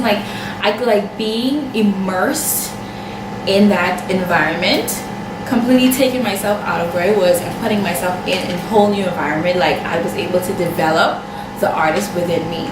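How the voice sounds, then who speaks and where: A young Bahamian woman talks straight to camera, chatty and animated, stopping for asides as she tells a story. She sits back from the mic in a roomy, echoing space. A steady hum of noise runs under her voice. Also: mid-range voice, recorded from a distance. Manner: chatty and animated, full of "like" and self-quoting. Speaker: makeup artist vlogger. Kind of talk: casual personal storytelling to camera. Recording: echoey room, off-mic, steady background noise.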